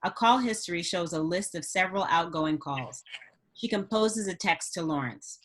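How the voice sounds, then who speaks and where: A woman's voice: fairly low pitch, expressive, moderate pace, fairly bright tone. A woman narrating stage directions at a script table read.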